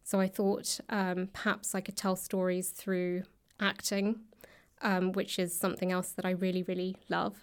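Woman in a casual interview, pretty flat even pitch, feels her way through sentences. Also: normal speed, smooth. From south London, England. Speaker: podcast guest, writer and actor.

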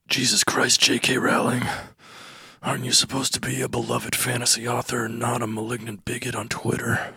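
A man playing an exasperated American book publisher in a comedy sketch, stern and scolding in a breathy, hoarse half-whisper. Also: medium pitch, very animated, slow pace, fairly bright tone, exaggerated voice.

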